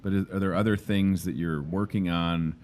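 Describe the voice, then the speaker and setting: A man hosting a local talk show, loud and enthusiastic, speaking in an emphatic, animated way. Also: low voice, normal speed, slight background noise. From Midwestern United States. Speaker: host. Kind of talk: casual interview chat.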